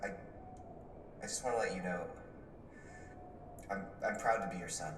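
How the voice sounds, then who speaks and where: An actor playing a remorseful, vulnerable grown son, a young man speaking in a halting, stammering, sheepish way in a game drama. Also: deep voice. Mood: contrite, nervous. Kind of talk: naturalistic game acting. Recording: distant, echoey, noisy ambience.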